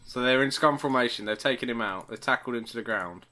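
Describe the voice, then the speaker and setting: A man's voice: mid-range voice, fast talker, sharp tone. A man co-hosting a podcast, bantering casually with teasing questions and interjections, recorded with some background noise. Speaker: podcast co-host.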